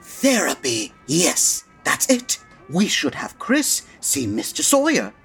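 A woman playing a fretful, excitable store manager who fears the boss, in a comic radio drama. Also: deep voice, slow pace, slightly rough, faint music behind, exaggerated voice. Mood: anxious, excited. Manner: fretful, flustered.

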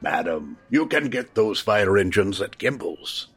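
A man's voice: lowish voice, very animated, unhurried, husky, exaggerated voice. A man playing a kindly old department store Santa in a radio play, courteous, patient and reassuring.

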